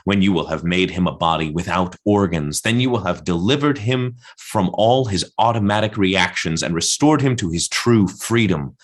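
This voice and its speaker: A man performs a raving male poet-prophet in a radio play. The voice sits low and declaims deliberately. He is defiant and blasphemous, solemnly insisting on his obsessive visions. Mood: urgent, insistent. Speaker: actor in an adapted radio play. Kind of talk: theatrical radio-play monologue.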